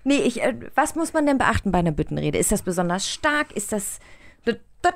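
A woman's voice: medium pitch, sing-song, a little fast, no room sound. A woman from Rügen in northern Germany, chatting casually in a playful, giggly way. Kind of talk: casual studio banter.

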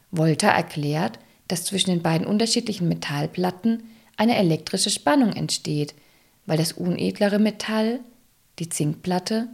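A woman's voice: on the low side, creaky, darkish tone. A woman reading scripted, explanatory narration for an educational audio drama.

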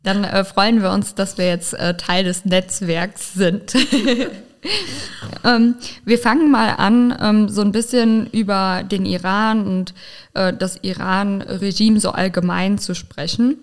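A woman's voice: mid-range voice, fairly even intonation, takes it slowly. Chatty female podcast host. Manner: chatty, many fillers. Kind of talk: casual interview hosting.

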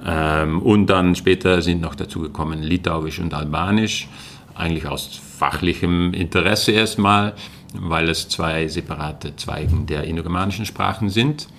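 Deep gravelly man. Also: normal speed, fairly bright tone, a little hiss or hum. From Netherlands. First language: Dutch. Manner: hesitant, many fillers and restarts. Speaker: guest, linguistics lecturer. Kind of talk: casual interview answers.

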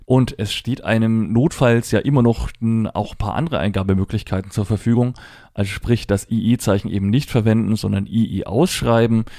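Low, mellow man presenting a tutorial. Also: steady tone, fairly quick, no echo, clean studio sound. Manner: spells out letters and dot numbers step by step. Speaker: presenter of a tech tutorial for Braille users. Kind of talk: explanatory product demonstration, conversational.

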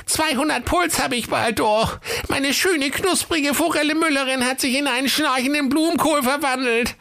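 Squeaky, whining young fairytale prince. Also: male voice, normal speed, creaky, sharp tone, heavily acted. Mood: exasperated. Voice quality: squeaky falsetto. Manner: stammering, slangy, whining. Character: young whiny hapless fairytale prince, cheeky and easily panicked.

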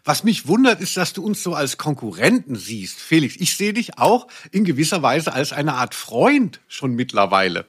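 A man with a husky voice, hosting a podcast in a playful, tongue-in-cheek, mock-sentimental way. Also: on the high side, big pitch swings, normal speed, few pauses. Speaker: podcast host. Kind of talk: playful scripted intro and casual banter.

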